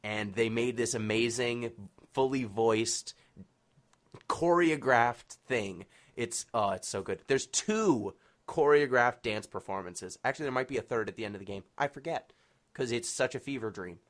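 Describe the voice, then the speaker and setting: A man's voice: medium pitch, normal speed. A man chatting casually about video games in an emphatic, playful, bantering way. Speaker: podcast guest, gaming marathon runner.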